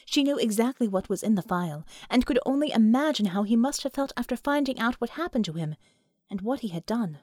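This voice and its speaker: A woman narrating an audiobook story in an expressive, scripted storytelling style. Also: on the high side, brisk, dry recording, clean studio sound.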